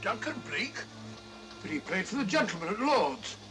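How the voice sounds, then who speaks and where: An actor playing a loyal, blustering older English gentleman, the detective's sidekick in a 1940s mystery drama. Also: high voice, sing-song, moderate pace, over music. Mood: incredulous. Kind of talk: 1940s film mystery acting. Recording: noisy old film soundtrack.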